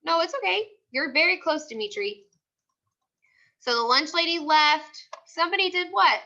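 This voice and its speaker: A woman teaching a class, asking questions and repeating questions and answers slowly for her pupils. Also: sits high, lively intonation, sharp tone, lo-fi sound. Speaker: teacher leading a class read-aloud.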